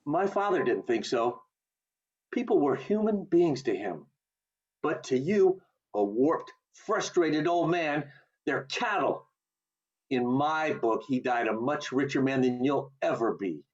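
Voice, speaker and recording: An actor playing an earnest, idealistic young man in an amateur radio play, impassioned and halting, over a video call. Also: medium pitch, animated, husky. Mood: indignant, impassioned. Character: earnest idealistic young man defending his late father. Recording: muffled online video call audio.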